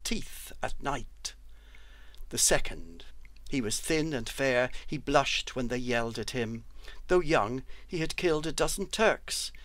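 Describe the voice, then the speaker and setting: A man reads verse expressively at a slow pace, shaping each word with care. His voice sits at a middling pitch, and the recording is dry and close. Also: lively intonation, a bit bright, no room sound. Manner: careful, deliberate articulation. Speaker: poetry reader who has trained actors. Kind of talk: expressive poetry recital.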